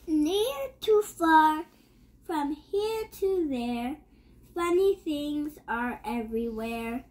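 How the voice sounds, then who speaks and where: A young child with a high voice reads a rhyming picture book aloud. The pace is extremely slow and halting, with words sounded out and often repeated. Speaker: young reader.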